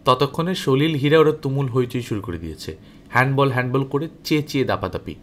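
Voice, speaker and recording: A man reading a story aloud as an audiobook narrator, in an expressive, animated way that dramatizes the dialogue. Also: medium pitch, a little fast. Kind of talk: expressive scripted story narration, first-person as a boy.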